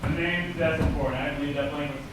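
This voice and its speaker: An actor playing a smug, showboating vocabulary word come to life, with a cocky theatrical manner. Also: male voice, on the high side, steady tone, quick pace, lightly acted. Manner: cocky, self-introducing showman. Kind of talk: theatrical stage comedy acting. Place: stage in a hall, recorded from afar. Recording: distant echoey stage recording, loud noise.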